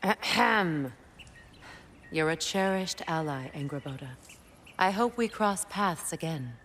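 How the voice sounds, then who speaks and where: Casting: regal game goddess, low register, formal and weighty, proud warrior mother. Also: expressive, takes it slowly, a bit bright, a little hiss or hum. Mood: gracious. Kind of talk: dramatic video game cutscene acting.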